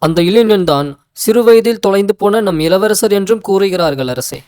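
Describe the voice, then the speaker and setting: A man playing a respectful palace guard in an animated folktale, making loud, formal announcements. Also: high voice, moderate pace, dry recording, close to an everyday voice. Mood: dutiful, urgent. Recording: music under dry voice.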